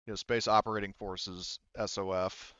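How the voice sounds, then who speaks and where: A man with a fairly low, smooth voice narrates a tabletop roleplaying game as game master. He talks informally and haltingly, building descriptions piece by piece. The sound is dry and a bit dull. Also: little pitch movement, sharp tone, no room sound.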